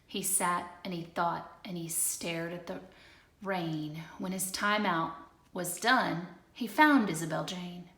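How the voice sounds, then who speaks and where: Expressive woman reading a rhyming storybook aloud. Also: on the low side, slow pace. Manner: expressive, shifts between loud and hushed. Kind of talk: rhythmic picture-book read-aloud. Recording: roomy echo.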